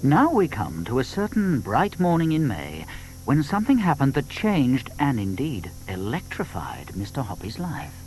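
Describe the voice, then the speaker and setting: A man narrating a children's story in an expressive, dramatic storytelling manner. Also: medium pitch, moderate pace, faint music behind, low-quality recording.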